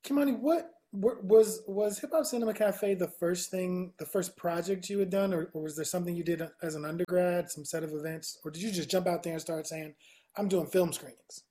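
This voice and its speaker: A woman's voice: lowish voice, brisk, warm tone. A woman hosting a talk show, chatting casually with her guests and often restarting her questions. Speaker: podcast host. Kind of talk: casual interview chat.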